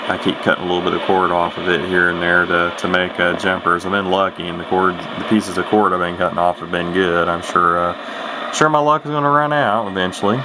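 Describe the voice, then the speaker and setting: A man's voice: low voice, steady tone, fairly quick. A man giving a casual, unscripted hobby demonstration, rambling and hesitant, with a self-deprecating way of talking, recorded on a camera microphone. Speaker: vintage radio hobbyist presenting his own video. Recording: clearly noisy home camera recording. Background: clear background noise.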